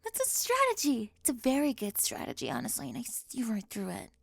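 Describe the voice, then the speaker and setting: Woman, ASMR roleplay, sharp-tongued teasing, whispers and soft talk, close mic, dry sound. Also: a little high, wildly swooping pitch, brisk, creaky, no echo.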